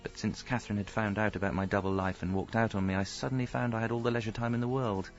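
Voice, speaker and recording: Actor as sad-sack middle aged bloke narrating radio comedy, deadpan, low creaky voice. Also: male voice, fast talker, lightly acted. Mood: rueful. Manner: deadpan, rueful. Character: rueful, self-pitying homeless husband narrating his misfortunes. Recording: slightly dull audio, faint music.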